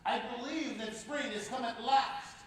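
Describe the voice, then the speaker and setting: A selfish, grumpy giant, put on by a man reading a storybook aloud. The voice booms in a high, stretched-out register. It rings around a big echoey church, heard from a distance. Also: unhurried. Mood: wondering. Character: selfish grumpy male giant, later remorseful.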